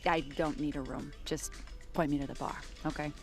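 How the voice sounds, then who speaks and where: A woman's voice: sits low, steady tone, normal speed, music in the background. A woman playing a world-weary, sarcastic police detective in an audio drama, blunt and informal. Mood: dry, weary.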